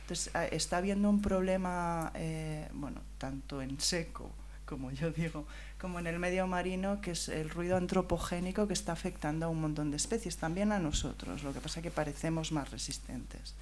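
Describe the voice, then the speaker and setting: A woman's voice: low-pitched, normal speed, darkish tone, slight background noise. A woman on a round-table panel in a theatre press room, explaining her art project in a thoughtful way with light laughs.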